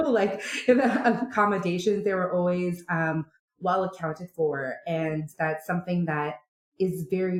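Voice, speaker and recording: A Canadian woman, an opera singer, chatting animatedly in a podcast interview, full of fillers and likes. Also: lowish voice. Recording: roomy echo.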